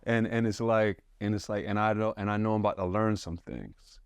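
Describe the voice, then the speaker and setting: A man hosting a podcast in an excitable, rambling way, full of restarts and fillers. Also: on the low side, brisk, clear, resonant tone, dry recording. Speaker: podcast host. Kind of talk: casual chat.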